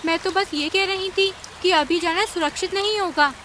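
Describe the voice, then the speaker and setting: A woman's voice: extremely high pitch, normal speed, pushed and bright, slight background noise. A woman voicing an earnest, cautious little female ant in a cartoon story. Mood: anxious, respectful. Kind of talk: Hindi animated cartoon story acting.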